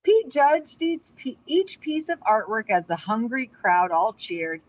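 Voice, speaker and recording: A woman storyteller reads to children in a fairly high voice. Her delivery is expressive and animated, rising and falling a lot, and the recording is dry and close. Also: a little slow, dry recording, highs cut off. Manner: expressive, addresses young listeners with asides. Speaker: storyteller reading aloud to children. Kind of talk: animated children's read-aloud.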